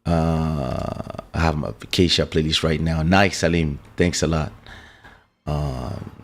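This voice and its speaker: A man's voice: extremely low pitch, fairly even intonation, slow pace, vocal fry. A man with a French accent hosting a casual livestream, talking loosely with fillers and restarts while greeting his viewers. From France. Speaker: livestream host and musician. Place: home streaming setup in Portugal. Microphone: studio desk microphone.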